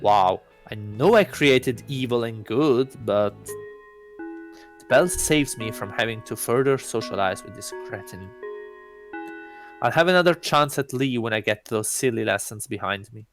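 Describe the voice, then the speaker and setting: A man's voice: medium pitch, expressive, measured pace, faint music behind. A man voicing an arrogant god posing as an exchange student, pompous and disdainful, in a visual novel. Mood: haughty disdain. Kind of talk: visual novel read-aloud.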